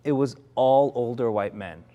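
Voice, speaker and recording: A man's voice: mid-range voice, normal speed, dark tone, highs cut off. A man in his forties, an actor, reflecting candidly in conversation, often restarting his sentences. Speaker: actor, interview guest.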